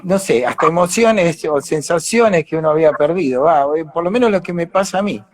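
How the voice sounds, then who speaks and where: A man's voice: on the high side, brisk. An Argentine man, an actor being interviewed, talking casually and reflectively as he explains things and searches for words. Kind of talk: casual live conversation.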